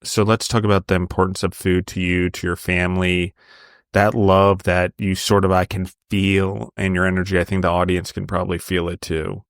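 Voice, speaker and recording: A man hosting a podcast, his voice very low and even, smooth and clear in a dry recording. He heaps effusive praise on his guest and leads into his questions at length. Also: moderate pace, no echo. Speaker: podcast host. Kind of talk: interview hosting.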